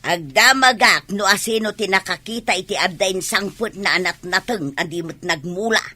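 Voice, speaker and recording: A woman's voice: medium pitch, normal speed, sharp tone. A woman acting a feisty, demanding village neighbour in an Ilocano comedy radio drama, insistent and scolding, with an Ilocano accent. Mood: suspicious, indignant.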